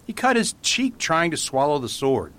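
A man playing a gentle whimsical priest detective. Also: on the high side, sing-song, normal speed, slight background noise. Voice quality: mild, patient, whimsical. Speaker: Father Brown. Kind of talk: amateur radio drama acting.

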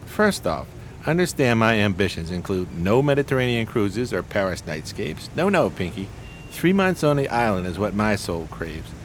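An actor playing a pompous, genteel homeless schemer in a 1920s radio play, speaking in flowery, courteous phrases. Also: male voice, on the low side, sing-song, moderate pace, slight background noise. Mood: grandiose.

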